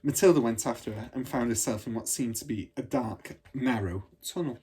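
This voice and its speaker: A man reading a children's storybook aloud like a teacher, in an expressive, measured storytelling manner. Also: mid-range voice, slightly rough. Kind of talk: storybook narration.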